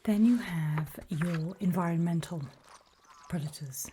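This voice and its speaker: A woman playing a calm, faintly sinister guide in a creepy ASMR roleplay, whispering slowly and deliberately with a breathy voice. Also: lowish voice, a little hiss or hum, natural voice. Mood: calmly instructive. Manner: slow, deliberate, coolly instructive, softly ominous. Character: calm, faintly sinister female guide briefing people on alien dangers.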